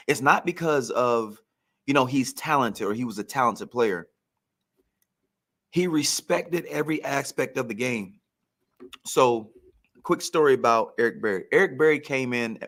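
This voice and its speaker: A man's voice: medium pitch, fairly bright tone. A man in casual sports talk, telling stories like a former player and repeating phrases for emphasis. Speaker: former pro football player, guest storyteller.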